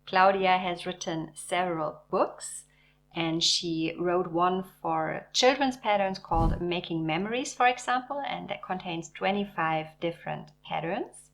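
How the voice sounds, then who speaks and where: A woman hosting a knitting channel, narrating in a warm, enthusiastic, measured way. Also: fairly low pitch, vocal fry, slight background noise, low-quality recording. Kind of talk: scripted video narration.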